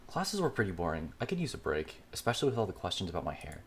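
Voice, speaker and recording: Lively low-voiced man narrating a game. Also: very fast. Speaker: let's play host reading a visual novel aloud. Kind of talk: casual dramatic reading with reaction asides. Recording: music behind the voice. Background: game music.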